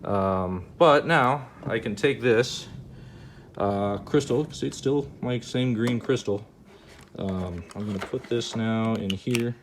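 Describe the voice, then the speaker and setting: Casting: male hobby presenter, mid-pitched, offhand and informal, clear background noise. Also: takes it slowly. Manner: informal, talks to himself while working. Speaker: video presenter demonstrating a gadget. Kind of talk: casual hands-on demonstration.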